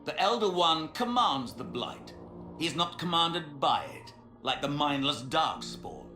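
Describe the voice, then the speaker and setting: A pompous, gloating mage villain, devoted to a dark master. His fairly high voice swoops theatrically through the speech. It echoes, with music playing behind it, as in a fantasy game scene. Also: very animated, unhurried, exaggerated voice. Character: smug fanatical male mage villain serving a dark master. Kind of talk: dramatic fantasy game acting.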